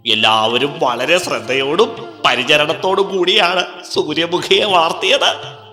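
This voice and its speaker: A man's voice: sits high, sharp tone, full character voice. A man voicing a mischievous male vetala spirit from a banyan tree, teasing and theatrical, with cackling laughs. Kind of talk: dubbed cartoon storytelling. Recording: echoey reverb with music under.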